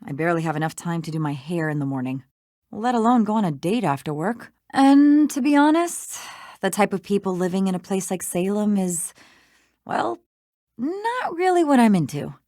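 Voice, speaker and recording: A woman's voice: medium pitch, sing-song, moderate pace, dark tone, no echo, natural voice. An actress plays a confident small-town woman lawyer in a video game. Her mid-range voice is recorded close and dry. She talks with wry self-assurance and a playful, teasing edge. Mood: exasperated. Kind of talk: scripted video game dialogue.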